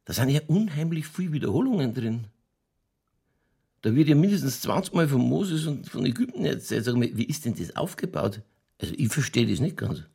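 An older Bavarian police chief in a radio crime play. His voice is husky and full of creak, and it moves up and down in lively swings. He talks in folksy dialect, drily soothing and slyly skeptical. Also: mid-range voice, fairly quick, full character voice. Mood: placating. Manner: colloquial, dry, placating. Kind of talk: naturalistic radio crime drama acting.

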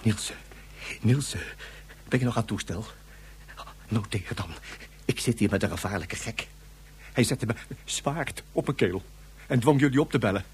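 An actor playing a flustered man in a Dutch radio drama, husky and stammering as he talks urgently and conspiratorially. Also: fairly low pitch, normal speed, pauses often, a little hiss or hum, lightly acted. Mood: hushed, urgent. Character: flustered man soothing, then secretly reporting a madman. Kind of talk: Dutch science-fiction radio drama acting.

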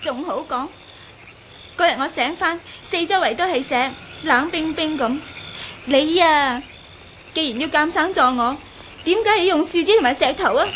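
An actress playing a fiery, jealous young woman in a radio drama, sharp-tongued and petulant. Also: high voice, normal speed, a little hiss or hum. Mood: aggrieved. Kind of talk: Cantonese radio drama acting.

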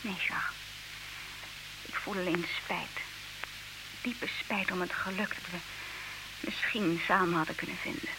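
An actress playing a proud, disillusioned wife in an old radio play, speaking in measured, reproachful, theatrical diction. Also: female voice, on the low side, steady tone. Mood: regretful. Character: disillusioned, proud wife leaving her clever husband. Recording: hissy old radio recording, clear noise.

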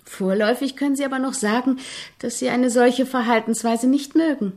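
An actress playing an earnest, persistent young composition student in a German radio drama, questioning politely but insistently. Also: female voice, on the high side, fairly even intonation, fairly quick, a little mellow, close to an everyday voice.

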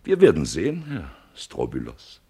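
Scheming archpriest, deep husky voice, big swings, old radio play speechifying. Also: male voice, wildly swooping pitch, normal speed, mellow, exaggerated voice. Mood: declaiming. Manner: declaiming, rising to emphatic outbursts. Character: scheming male archpriest, lofty and rhetorical. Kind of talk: 1950s German radio play acting.

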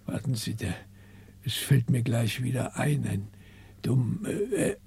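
Flustered, husky-voiced cemetery caretaker. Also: male voice, mid-range voice, a little slow, exaggerated voice. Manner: garrulous, excitable, searching for words. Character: talkative, flustered cemetery caretaker in small French town. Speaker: actor in a radio crime drama. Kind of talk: 1960s German radio play acting.

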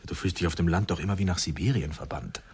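Expectant father, radio drama, deep bass-leaning voice, weighty, hesitant, skeptical, troubled. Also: quick pace, a little mellow, slightly rough, exaggerated voice. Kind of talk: German radio drama acting.

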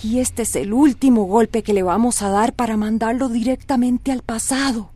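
A woman's voice: fairly high pitch, fairly even intonation, moderate pace, warm tone, dry recording, natural voice. A Costa Rican woman playing a firm, loyal lawyer friend in a radio drama, encouraging and insistent. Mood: reassuring, firm.

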